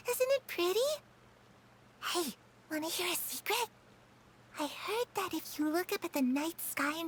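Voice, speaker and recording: A woman's voice: very high voice, a little hiss or hum. A lonely dreamy young girl. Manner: soft, dreamy, confiding. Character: lonely, wistful young girl confiding secrets. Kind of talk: game cutscene voice acting.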